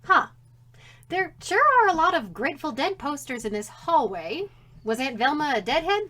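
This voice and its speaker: A woman's voice: high-pitched, sing-song, moderate pace, a bit bright. A woman playing a teasing, playfully sardonic wife and mother in an audio drama comedy. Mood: wry. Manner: wry, theatrical, teasing.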